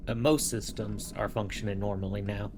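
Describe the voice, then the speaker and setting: An actor playing a dependable ship engineer, giving brief, matter-of-fact reports. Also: male voice, lowish voice, little pitch movement, moderate pace, background noise, natural voice.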